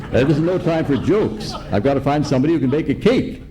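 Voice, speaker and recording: A man's voice: fairly high pitch, expressive, fast talker, a crowd in the background, lightly acted. A man playing a pompous, blustery middle-aged man in an old-time radio comedy, live on stage. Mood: flustered. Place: live on stage in a large hall.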